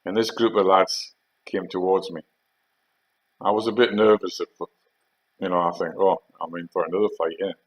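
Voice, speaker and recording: A man's voice: deep voice, steady tone, dull audio. An older man with a Geordie accent, an army veteran telling rambling war stories in a casual, hesitant way. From Northeast England. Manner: unhurried, rambling, hesitant with fillers. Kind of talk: casual anecdotal storytelling.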